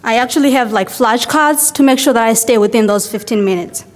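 A woman's voice: on the high side, a little fast. Zimbabwean young woman, reverberant church hall. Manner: chatty, many fillers like "like" and "uh". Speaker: church member giving a testimony. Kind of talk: casual spoken testimony to a congregation.

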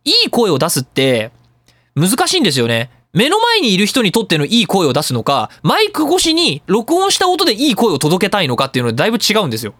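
A man hosts a casual radio talk in a very animated, swooping voice. He talks quickly and emphatically, like someone explaining his craft, and the sound is dry and close. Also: sits high, no echo. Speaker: radio show host and sound director.

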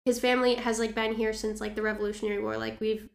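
Young female host, casual and halting. Creaky, monotone voice, roomy recording. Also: fairly high pitch. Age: young adult. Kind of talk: casual chat. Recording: echoey room.

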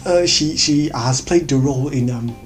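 An excitable vlogger with a Nigerian accent, chatting about movies to recommend. The talk bubbles along with frequent laughs and repeated words. Soft music plays faintly underneath at a middling pitch. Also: moderate pace.

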